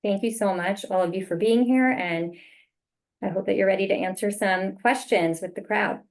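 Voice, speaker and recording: A woman's voice: medium pitch, normal speed. A woman hosting a virtual panel as moderator, introducing topics and passing questions along over a video call.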